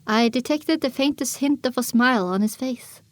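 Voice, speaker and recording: Lady voicing a young pony girl narrating her tale, earnest n measured, highish voice, dry close sound. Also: no echo, natural voice. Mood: attentive, measured. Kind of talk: scripted first-person audiobook narration.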